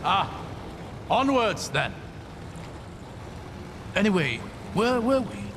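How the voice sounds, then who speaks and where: A man playing a gentle, bookish scholar in a video game, articulate, curious and earnest. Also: sits high, sing-song, slow, noisy background, full character voice. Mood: excited, urging. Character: gentle, bookish male scholar, eager and grieving father. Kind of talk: video game cutscene acting. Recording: long cavernous reverb, music behind.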